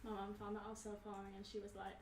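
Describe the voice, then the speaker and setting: A nineteen-year-old woman adds brief, soft-spoken comments to a group conversation. She sits away from the microphone, so her voice arrives distant with a roomy echo and faint hiss. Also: medium pitch, monotone, racing. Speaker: group member, guest. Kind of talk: casual group discussion. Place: meeting room. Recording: distant and echoey in the room.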